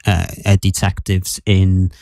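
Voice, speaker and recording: Low-voiced hesitant man chatting. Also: flat delivery, measured pace, creaky, darkish tone, no echo, clean studio sound. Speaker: podcast co-host. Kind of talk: casual chat.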